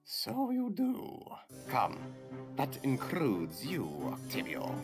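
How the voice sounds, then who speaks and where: A smug little aristocrat villain for a game, super slow and swoopy, loud music behind. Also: male voice, mid-range voice, wildly swooping pitch, full character voice. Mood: smug, commanding. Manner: grandiose, mocking, drawn-out formal speech. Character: small, theatrical, smug aristocratic villain, a self-styled visionary. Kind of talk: visual novel game villain dialogue.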